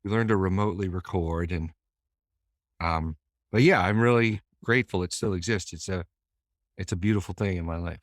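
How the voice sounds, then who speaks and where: A man's voice: sits low, moderate pace, dry recording, clean studio sound. Rambling, thoughtful man from Boston. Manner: thoughtful, meandering, many restarts. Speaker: musician guest. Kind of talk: casual interview chat.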